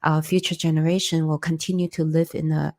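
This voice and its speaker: A woman's voice: deep voice, little pitch movement, fairly quick, warm tone. A woman from China, speaking English as a second language, an interview guest telling her story in an earnest way. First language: Chinese. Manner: earnest, storytelling, non-native grammar. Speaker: interview guest, former candidate. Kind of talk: conversational interview.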